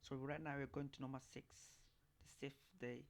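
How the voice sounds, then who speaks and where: A man giving informal, skeptical commentary in a halting, repetitive, questioning manner. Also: medium pitch, flat delivery.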